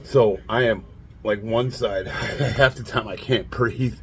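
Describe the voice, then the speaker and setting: A man in his late forties with a raspy, nasal, stuffed-up voice, rambling bluntly and laughing often as he talks to camera. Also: on the low side, fairly even intonation, takes it slowly, slight background noise. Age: 40s. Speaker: fitness vlogger. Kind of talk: casual monologue to camera.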